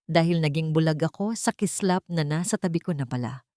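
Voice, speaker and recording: A woman voicing a weakened, remorseful man in an audio drama, halting and pleading. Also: low-pitched, dark tone, no echo, clean studio sound, lightly acted. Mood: weak, remorseful. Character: weakened, remorseful man confessing his family's wrongs.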